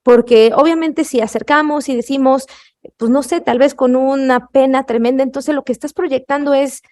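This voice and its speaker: A woman interviewer speaks in a fairly high voice. She asks her questions and comments on the answers in a measured, affirming way. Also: steady tone, darkish tone.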